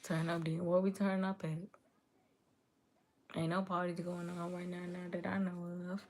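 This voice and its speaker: A woman's voice: on the low side, level tone, moderate pace. A woman from Chicago hosting a livestream, chatting easily with viewers and answering their comments aloud. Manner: easygoing, chatty, reads chat comments aloud and answers them. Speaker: livestream host. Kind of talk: casual livestream chat with viewers.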